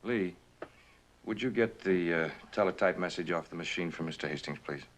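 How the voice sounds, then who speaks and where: A man's voice: low voice, normal speed, natural voice. An actor playing a cold, condescending corporate right-hand man, polished and controlled, with veiled menace, in a mid-century television drama. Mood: polite.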